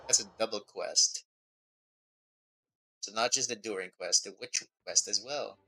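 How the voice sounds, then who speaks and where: A man giving casual live game commentary as a streamer, reading text aloud and thinking out loud. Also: lowish voice, measured pace, soft background music, low-quality recording. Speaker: streamer, vtuber.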